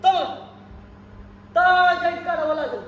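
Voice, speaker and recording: A man's voice: extremely high pitch, takes it slowly, a bit bright, highs cut off, exaggerated voice. A man acting a cheeky, teasing gang labourer in an amateur stage play. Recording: echoey hall, distant mic, noisy audience.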